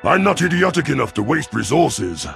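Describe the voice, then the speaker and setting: A man voicing an arrogant young reptilian alien hunter, gravelly and sneering, in a science fiction audio story. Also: medium pitch, big pitch swings, moderate pace, a bit bright, faint music behind, pitched above the performer's own voice, full character voice. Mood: boastful, scornful. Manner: boastful, sneering.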